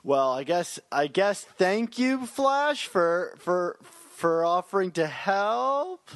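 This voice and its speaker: A man's voice: high voice, big pitch swings, takes it slowly, a bit bright, dry recording. A man hosting a podcast in casual, easygoing chat. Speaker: podcast host.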